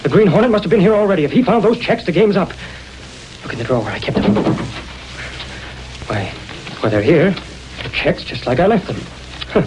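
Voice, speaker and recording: High-pitched, panicky crook. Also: male voice, fairly quick, pauses often. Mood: anxious, muttering. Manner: excitable, blustering. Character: crooked, panicky male secretary to a judge. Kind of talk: 1930s radio crime drama acting. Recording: noisy old radio recording.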